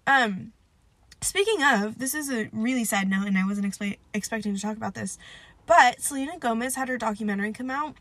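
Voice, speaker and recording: A young woman chats on her own podcast, nasal and stuffed up from a cold. Her voice is bright and a little sharp, recorded close and dry. She rambles loosely, leaning on "like" and "um" and poking fun at herself. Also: fairly high pitch, fairly quick, no room sound. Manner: rambling, filler-heavy, self-deprecating. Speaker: podcast host. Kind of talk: casual solo podcast chat.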